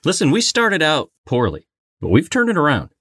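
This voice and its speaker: A man's voice: fairly high pitch, huge pitch swings, moderate pace, fairly bright tone, slightly rough, no echo, studio quality. A man co-hosting a casual trivia game, talking in a playful, exclamatory way. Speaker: co-host of a trivia game podcast.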